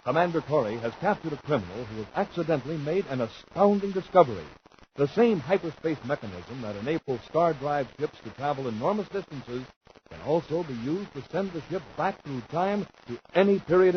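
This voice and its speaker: A man announcing and narrating in emphatic, deliberate 1950s radio style, scripted and dramatic. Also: on the high side. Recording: dull 1950s radio recording, faint noise.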